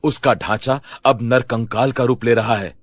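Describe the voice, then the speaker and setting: A man as a grave, husky occult holy man. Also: medium pitch, steady tone, unhurried, no echo, close to an everyday voice. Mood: ominous. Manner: solemn, ominous, urgent.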